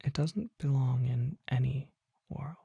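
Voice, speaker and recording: A performer voicing a mysterious, courtly vampire apothecary in a breathy, formal ASMR whisper, close to the microphone. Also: mid-range voice, no echo, lo-fi sound, exaggerated voice. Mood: hushed, reverent. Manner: slow, formal, deliberate, hushed.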